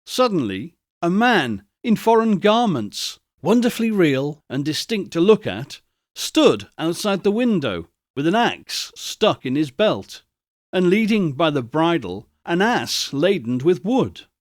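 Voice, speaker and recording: A man giving an expressive, measured dramatic reading of a literary story as narrator. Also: fairly high pitch, no room sound, clean studio sound.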